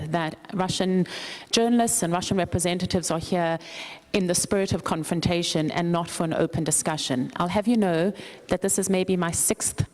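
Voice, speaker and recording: A woman speaks in a low voice with a South African accent. She makes her point firmly and with conviction, like a prepared statement from the conference floor. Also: darkish tone. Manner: firm, assertive, prepared argument. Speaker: conference participant, journalist.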